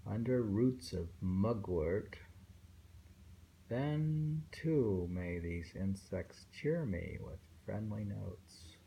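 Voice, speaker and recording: Slow, low-voiced gravelly man reading poetry. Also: lively intonation, warm tone, slight background noise, low-quality recording. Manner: halting, musing, with pauses and self-questioning. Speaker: reader and host discussing poems. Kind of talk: informal poetry reading with rambling commentary.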